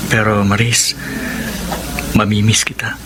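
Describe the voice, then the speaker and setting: A man's voice: fairly low pitch, little pitch movement, slow pace. An actor playing a kind, wistful male suitor with a Filipino accent in a radio romance drama. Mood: wistful, resigned. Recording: noisy ambience behind the voice.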